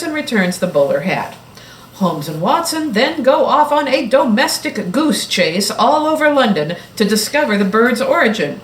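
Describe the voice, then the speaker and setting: A woman with a fairly high voice narrates a scripted story with wry, expressive delivery. Her pitch moves in lively, wide sweeps. She sounds some distance from the microphone, in a roomy space with faint background noise. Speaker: presenter of a creative project. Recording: distant mic in echoey room, background noise.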